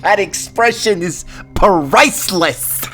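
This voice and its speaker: A man performs a smug Grim Reaper for a fantasy audio drama. His voice sits a little high for a man and leaps around in pitch. He talks like a slangy, teasing wise guy, with music playing behind him. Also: expressive, takes it slowly, fairly bright tone, heavily acted. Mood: laughing hard. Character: cocky, wisecracking male Grim Reaper, casual and teasing. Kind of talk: fantasy audio roleplay character acting.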